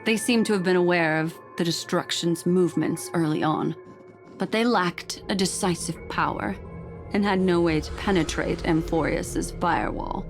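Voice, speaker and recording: An actress playing a composed, mysterious keeper of memories in a video game, with a poised, measured, knowing delivery. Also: female voice, on the low side, soft background music, natural voice.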